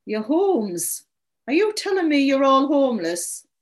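Lady doing a frantic upset woman from a story, high voice swooping all over, shrill and accusing. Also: big pitch swings, unhurried. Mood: angry, accusatory. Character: distraught, indignant woman searching for her lost poodle.

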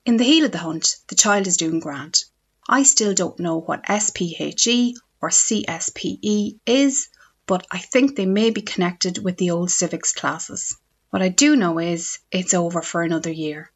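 A woman's voice: on the low side, unhurried, slightly rough. Irish woman, scripted comic column, dry self-mockery, muffled lo-fi recording. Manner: wry, self-mocking storytelling. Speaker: radio essayist. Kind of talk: scripted humorous column read on radio.

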